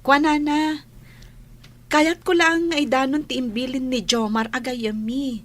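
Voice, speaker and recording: A woman acts out a young Filipino village friend in a radio play. Her voice is high and she takes her time, stretching words out in a concerned, conversational way. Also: fairly even intonation, a little slow. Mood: concerned, inquiring. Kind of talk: radio drama acting.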